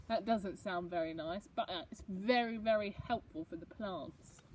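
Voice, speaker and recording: A woman's voice: medium pitch, moderate pace, far from the mic, slight background noise, poor audio. A woman reading a picture book to young children, expressively, with explanatory asides and questions for the listeners. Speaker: storybook reader for young children.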